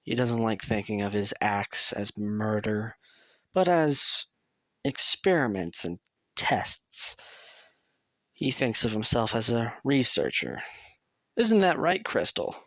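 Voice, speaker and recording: A man performs a young asylum patient in an audio drama, nervous but amiable. He speaks slowly and hesitantly, as if sharing secrets. His voice has some creak and moves freely up and down. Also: on the low side, sing-song, fairly bright tone, no room sound, heavily acted. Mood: friendly, confiding. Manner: hesitant, confiding, jittery. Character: nervous, friendly young male asylum patient, quietly defiant. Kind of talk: audio roleplay acting.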